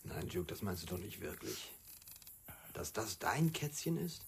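A man's voice: low voice, very animated, a little slow, a little hiss or hum, exaggerated voice. An actor playing a hesitant, astonished backwoods townsman in a radio play. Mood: incredulous.

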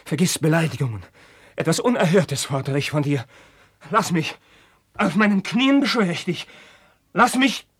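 An actor playing an impassioned, desperate young crown prince, pleading theatrically in 1950s German classical radio drama style. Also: male voice, a little high, lively intonation, moderate pace, long pauses, a bit bright, breathy edge. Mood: frantic begging. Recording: 1950s radio studio recording.

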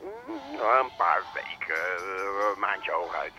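An actor playing a carefree, evasive brother calling from abroad in a radio drama, hesitant and heard through a telephone. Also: male voice, sits low, slow. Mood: sheepish. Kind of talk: naturalistic radio drama acting.

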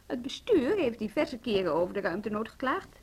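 A woman's voice: on the high side, very animated, brisk, a little hiss or hum. An actress playing a determined, diplomatic woman from a music school in a radio drama, earnest and persuasive, with a Netherlands Dutch accent. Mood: firm, pressing. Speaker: actor in a radio play.

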